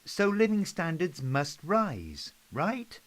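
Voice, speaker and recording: A man in an amateur play playing a doubtful questioner who seeks reassurance, with deliberate scripted delivery. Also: on the high side, wildly swooping pitch, fairly bright tone, heavily acted. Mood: curious. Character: doubtful male questioner seeking reassurance about economic changes.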